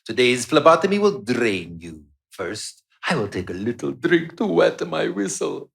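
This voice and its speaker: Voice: mid-range voice, sing-song, a little slow, creaky. An actor playing a greedy, centuries-old vampire doctor in a live radio play, with theatrical, gloating menace. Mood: gloating, menacing.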